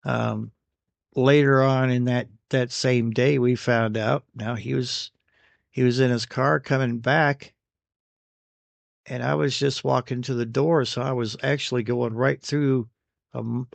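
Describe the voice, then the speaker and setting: An American man recording an unscripted product review voice-over, speaking in a halting way with pauses between phrases. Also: mid-range voice, creaky, no room sound. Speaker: radio gear reviewer.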